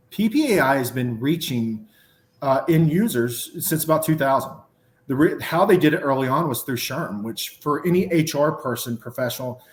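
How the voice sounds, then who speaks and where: Roomy Southern man running words together. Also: medium pitch. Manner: runs words together, many fillers. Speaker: guest. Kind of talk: casual podcast conversation.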